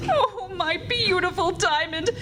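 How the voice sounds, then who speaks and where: A woman's voice: very high voice, measured pace. A woman acting a haughty, flustered society matron in an old-time radio comedy, with affected, grandly indignant speech. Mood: distressed, indignant. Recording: live stage recording, faint background noise.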